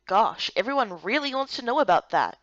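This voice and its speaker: A woman acts a spirited young heroine in a fantasy game. She is curious and outspoken, speaking up in a raised, expressive voice. The recording is dry and a bit muffled. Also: brisk, dry recording, close to an everyday voice. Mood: eager, guarded. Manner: higher, louder, expressive.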